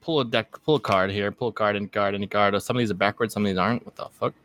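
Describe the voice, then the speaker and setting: A young man co-hosts a podcast in a relaxed, easygoing way, cursing casually and laughing often. His voice sits a bit low and sounds dry and close, with no room echo. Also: very fast. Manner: laid-back, swears freely, laughs often. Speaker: podcast co-host.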